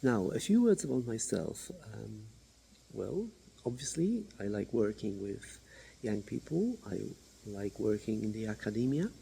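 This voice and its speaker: Man, mellow tone with a rough edge, animated, halting self-intro. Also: slight background noise, poor audio. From Poland. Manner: lively, swooping pitch with halting pauses. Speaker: university international coordinator. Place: remote village.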